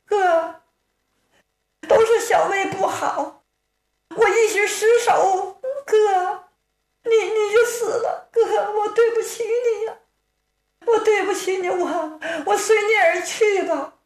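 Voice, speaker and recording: A woman voicing a distraught young woman grieving her brother, shrill and stammering through sobs. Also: measured pace. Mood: sobbing. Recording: roomy echo.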